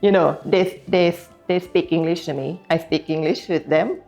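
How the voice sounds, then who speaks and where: A woman with a Thai accent, speaking haltingly and searching for words. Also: lowish voice, fairly even intonation, darkish tone, faint music behind. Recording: dull lo-fi recording.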